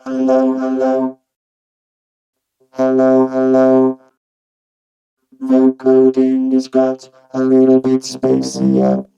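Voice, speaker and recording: A man speaking through a vocoder as a flat synthetic robot, his words carried on keyboard synth notes. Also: mid-range voice, takes it slowly, vocal fry, pauses often, lo-fi sound, over music. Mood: robotic, flat. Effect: vocoder robot filter over synth notes. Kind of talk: slow demonstration phrases on keyboard notes.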